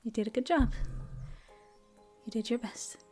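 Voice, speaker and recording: A woman voicing a gentle elf ranger. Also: a little high, moderate pace, faint music behind, lightly acted. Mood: soothing. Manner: soft, soothing, often whispered. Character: gentle female elf ranger, caring and shy. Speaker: voice actress. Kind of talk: audio roleplay, comfort ASMR.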